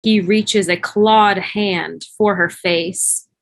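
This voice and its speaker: A woman's voice: mid-range voice, fairly even intonation, drawn-out words. A woman reading scripted stage directions as narrator of a fan table read over a video call. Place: remote video call, music behind.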